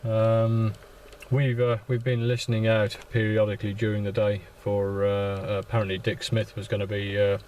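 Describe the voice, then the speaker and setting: Low-pitched, monotone man on amateur radio. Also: a little hiss or hum. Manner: halting, repeats words as they go. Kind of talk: casual radio contact chat with call signs. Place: inside a parked vehicle.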